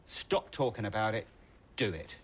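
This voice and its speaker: A man with a fairly low voice gives a short testimonial. There is clear noise behind him. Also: lively intonation, normal speed. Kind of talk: interview testimonial. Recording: noisy background, clicks.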